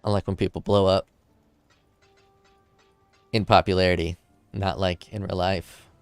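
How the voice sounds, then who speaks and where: Laid-back deep-voiced male streamer. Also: little pitch movement, measured pace, creaky, smooth, dry recording, soft background music. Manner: laid-back, rambling, joking. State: a little drunk. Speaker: game streamer. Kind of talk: casual livestream chat, reading chat aloud. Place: home desk. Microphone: desk mic in front of keyboard.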